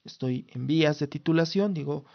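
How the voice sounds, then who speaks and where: A man's voice: a little high, normal speed, vocal fry, lo-fi sound. A Mexican man in his thirties from Mexico City, hosting his own podcast in a formal, lawyerly way with frequent restarts. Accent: Mexican Spanish. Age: 30s. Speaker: podcast host. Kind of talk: casual solo podcast talk.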